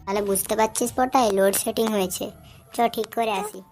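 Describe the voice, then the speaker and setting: Scheming young male cartoon buddy played by a man, rapid indignant chatter, processed high voice, West Bengal Bengali accent, amateur comedy short. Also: fairly even intonation, darkish tone, faint music behind. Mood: grumbling. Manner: chatty, foul-mouthed, indignant.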